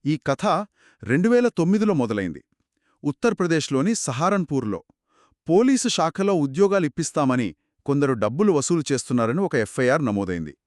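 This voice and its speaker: A man's voice: fairly high pitch, very animated, brisk, no echo, studio quality. A man hosting a scripted explainer discussion, asking questions and recapping points in an inquisitive way. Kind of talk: scripted two-person legal explainer discussion.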